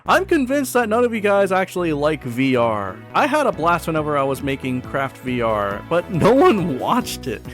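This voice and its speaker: A man's voice: on the high side, sing-song, moderate pace, hardly any pauses, faint music behind. A man giving chatty, informal and opinionated commentary in the style of a casual gaming video host.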